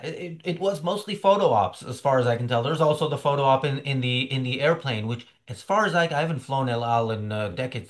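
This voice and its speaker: A middle-aged man hosting a political commentary chat over a video call, sardonic and halting, with many fillers. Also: mid-range voice. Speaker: show host.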